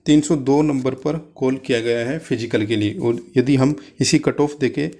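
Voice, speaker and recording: A man's voice: mid-range voice, normal speed, warm tone. Man explaining step by step. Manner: code-mixes English terms, step-by-step explaining. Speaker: exam coaching presenter. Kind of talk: informal explainer addressing students.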